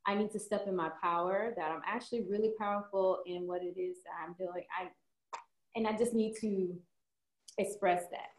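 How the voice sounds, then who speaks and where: A woman's voice: mid-range voice, fairly even intonation, normal speed, echoey room, rough recording. A woman on stage as a seminar guest, answering questions hesitantly with many restarts. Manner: hesitant, restarts, laughs a lot. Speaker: seminar guest in the hot seat. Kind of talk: live stage conversation.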